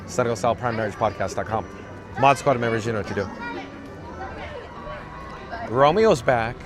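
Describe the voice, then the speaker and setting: Raspy dude streaming outdoors off his camera rig, dry monotone jokes, loud crowd and music behind. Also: mid-range voice, moderate pace. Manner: dry, self-mocking, monotone. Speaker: livestream host reading chat. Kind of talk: casual live-stream chatter. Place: outdoors on a parade route. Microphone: camera rig held by the speaker.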